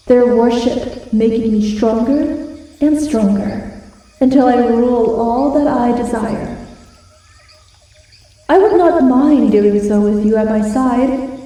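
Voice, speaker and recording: Woman doing a shy wolf girl giantess for asmr roleplay, soft mellow tone, sounds far off and super echoey. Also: on the high side, lightly acted. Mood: imperious, grand. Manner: slow, hesitant, stammering when flustered. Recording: heavily echoing, distant-sounding voice.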